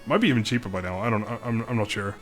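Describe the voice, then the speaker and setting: A man's voice: lowish voice, racing, a bit bright, faint music behind. A young man from the Netherlands with a Dutch accent, chatting casually on a live stream, laughing often and stumbling over his words.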